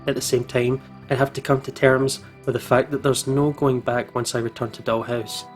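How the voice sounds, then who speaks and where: A man's voice: medium pitch, moderate pace. A man reading a visual novel aloud as a let's play host, reacting expressively, with music behind him. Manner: expressive, emotionally invested reactions.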